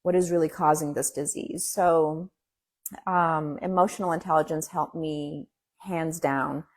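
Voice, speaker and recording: Husky-voiced woman, earnest guest. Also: low voice, fairly even intonation. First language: Farsi. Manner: earnest, encouraging, speaks in quick bursts. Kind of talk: casual interview talk.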